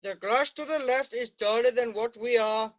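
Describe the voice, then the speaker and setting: A man's voice: extremely high pitch, normal speed, dry recording, highs cut off. A man reading a precise, dutiful army sergeant major in an amateur screenplay read-through, briefing in a matter-of-fact way. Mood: matter-of-fact, urgent.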